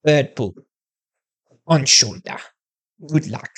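A video host putting on a deliberately bad, exaggerated Russian accent, speaking in clipped, broken phrases. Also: medium pitch, husky. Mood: mock-solemn.

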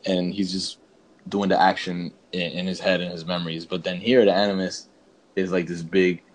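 A man's voice: deep voice, little pitch movement, normal speed. A man co-hosting a podcast, chatting casually and giving opinions in an informal, rambling, slangy way. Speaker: podcast co-host. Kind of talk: casual opinionated chat about games and films.

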